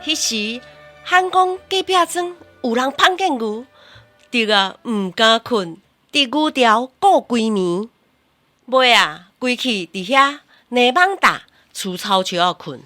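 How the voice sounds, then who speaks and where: A bright, high-ish woman's voice with a Taiwanese accent tells a scripted radio story. The delivery is expressive and animated, recorded close and dry with faint music underneath. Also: slow pace, no echo. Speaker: radio drama narrator.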